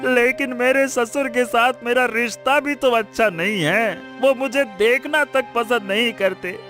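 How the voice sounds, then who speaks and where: A man acting a ruined gambler husband in an audio story, despairing, self-pitying and pleading in drawn-out laments. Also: extremely high pitch, music in the background, exaggerated voice. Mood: pleading misery.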